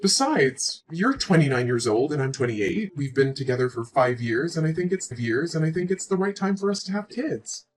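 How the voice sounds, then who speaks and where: A woman's voice: sits low, expressive, normal speed, few pauses, faint music behind. A woman in her twenties playing a hurt, pleading young woman who has just learned she is pregnant, emotional and reproachful. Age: 20s. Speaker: pregnant girlfriend. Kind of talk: scripted relationship drama.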